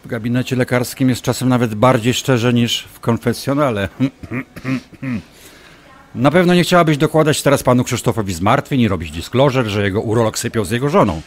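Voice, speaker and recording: An actor playing a smug, obsessive doctor in an audio drama, taunting and insinuating as a manipulative ex-lover. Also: male voice, medium pitch, a little fast, close to an everyday voice. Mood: insinuating, pressing. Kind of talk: audio drama acting, dialogue.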